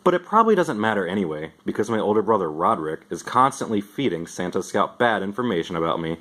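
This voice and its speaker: A man with a fairly low voice giving an expressive amateur storybook reading in the first person. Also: fast. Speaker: amateur reader.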